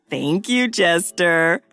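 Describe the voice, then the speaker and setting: A woman playing a cheerful, excitable science professor who explains things in an exaggerated, sing-song way for young children in an educational cartoon. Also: lowish voice, no echo, heavily acted. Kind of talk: scripted educational cartoon narration.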